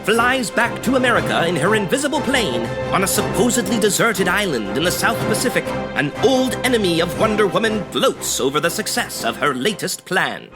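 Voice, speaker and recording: A man's voice: high-pitched, big pitch swings, brisk, few pauses. Male actor as old-time radio announcer, urgent, swooping dramatic reads over music. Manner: theatrical, urgent announcer delivery. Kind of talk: 1940s radio serial narration. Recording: music under the narration.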